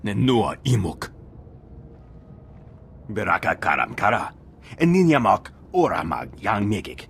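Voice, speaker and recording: Low, solemn alien cultist. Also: male voice, sing-song, measured pace, vocal fry, a bit bright, slight background noise, heavily acted. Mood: solemn, declamatory. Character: alien cult member descended from slaves, solemn. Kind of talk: video game cutscene acting.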